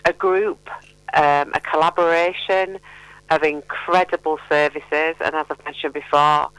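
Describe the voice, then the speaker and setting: Middle-aged female charity spokesperson for radio interview; clear, resonant, playful and encouraging, close dry sound. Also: low voice, fairly even intonation, measured pace, fairly bright tone, no echo, low-quality recording. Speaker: mental health charity representative, radio guest.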